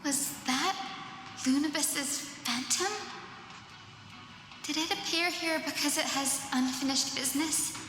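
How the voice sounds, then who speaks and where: A woman playing a gentle, earnest young healer in an anime-style game, speaking with compassion and clear words. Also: high-pitched, unhurried, long pauses. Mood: curious. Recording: echoey reverb, game music behind. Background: loud game music.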